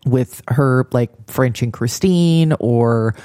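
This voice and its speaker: Mellow, wry man, dry close sound. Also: mid-range voice, slow pace, dry recording. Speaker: podcast co-host. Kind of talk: casual TV recap discussion.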